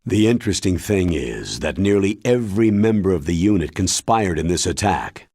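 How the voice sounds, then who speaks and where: A man playing a grave, authoritative military commander in a video game, briefing in a deliberate, emphatic way with a husky voice. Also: deep voice, lively intonation, darkish tone, no room sound, full character voice. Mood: measured, explanatory. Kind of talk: video game cutscene acting.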